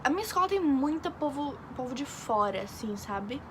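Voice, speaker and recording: A woman's voice: sits high, very animated, normal speed. A young woman from Brazil, an exchange student, telling a rambling story in casual chat with lots of fillers. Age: young adult. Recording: noisy background.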